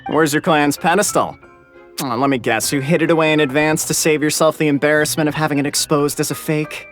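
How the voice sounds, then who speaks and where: A man's voice: fairly high pitch, fairly even intonation, fairly quick, fairly bright tone, soft background music, natural voice. A man voicing a smug, theatrical, sarcastic clansman in a video game, with a grandiose, mocking delivery. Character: smug, theatrical, sarcastic male clan member. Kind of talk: video game character acting.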